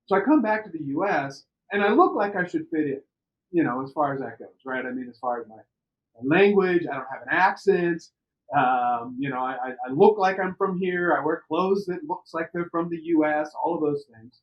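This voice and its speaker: A middle-aged man from the United States telling personal stories on a podcast in an animated, conversational way, repeating words for emphasis. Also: a little high, normal speed, lo-fi sound. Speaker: podcast interview participant. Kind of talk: personal storytelling.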